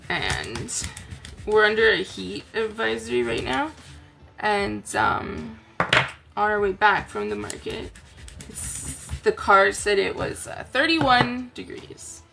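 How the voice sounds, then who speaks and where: Tired raspy-voiced woman, slow and chatty. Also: mid-range voice, big pitch swings, over music. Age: adult, aunt. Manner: rambling, chatty, soft-spoken. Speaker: crafting channel host. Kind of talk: casual chat to camera.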